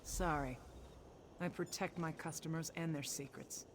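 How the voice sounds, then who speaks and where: A woman's voice: low voice, steady tone, measured pace, noisy background. A woman playing a tough, guarded caravan master in a fantasy video game, wry and matter-of-fact. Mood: wary, defiant.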